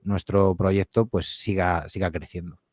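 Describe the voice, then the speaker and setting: A man who makes horror audio fiction podcasts, answering interview questions in a relaxed, rambling way, with lots of fillers and restarts. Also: low-pitched, measured pace, no room sound, studio quality. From Spain.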